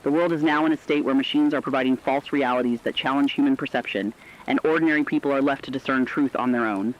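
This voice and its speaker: A man's voice: mid-range voice, quick pace, lightly acted. A man playing a serious radio news anchor, reading scripted emergency advisories in a formal, precise, earnest manner. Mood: serious, urgent. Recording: dull lo-fi radio sound.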